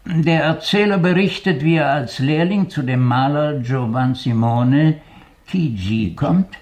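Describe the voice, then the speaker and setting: An actor playing an educated doctor and gentleman investigator in a radio play, speaking formally and deliberately. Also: fairly high pitch, lightly acted. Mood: explaining. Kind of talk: naturalistic German radio play acting.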